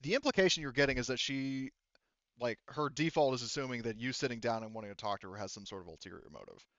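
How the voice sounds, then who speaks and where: A man narrating a tabletop roleplaying game as game master, informal and halting as he thinks aloud. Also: mid-range voice, lively intonation, fairly bright tone, no room sound, dull audio.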